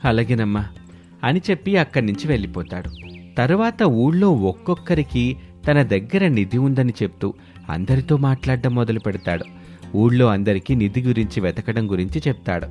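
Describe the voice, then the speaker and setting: A man's voice: medium pitch, lively intonation, moderate pace, mellow. A man giving scripted narration of a moral story as storyteller. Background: background music.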